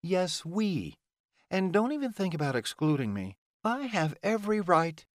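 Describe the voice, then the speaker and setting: A man's voice: high voice, very animated, unhurried, no room sound, studio quality, voice pushed up. A man voicing a defiant, sarcastic young heroine in an audiobook, challenging whoever she talks to. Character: feisty, defiant mortal woman in love.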